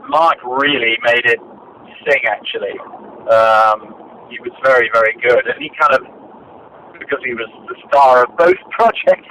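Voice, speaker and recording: An older man, a director answering interview questions in a measured, hesitant way with many fillers and restarts. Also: mid-range voice, a bit bright. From Britain. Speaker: film and radio drama director, guest. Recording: dull lo-fi archive recording, faint noise.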